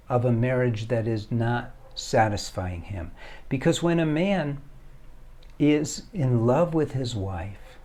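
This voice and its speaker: A middle-aged man, founder of a marriage foundation, giving advice straight to the viewer in a deliberate way. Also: mid-range voice, mellow. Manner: slow, deliberate, addresses the viewer directly. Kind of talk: direct-to-camera advice talk.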